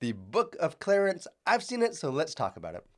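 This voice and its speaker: A man's voice: a little high, wildly swooping pitch, a little fast, fairly bright tone. A sick man reviewing a film. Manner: chatty, many fillers and restarts. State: sick, lost his voice. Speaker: film reviewer, channel host. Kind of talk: casual video review.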